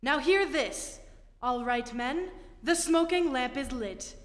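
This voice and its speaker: Woman acting a commanding rocket captain, high voice, old radio play, echoey hall. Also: slow pace. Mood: stern. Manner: authoritative, clipped military orders. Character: stern, commanding spaceship captain of a naval rocket. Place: theatre stage, live performance.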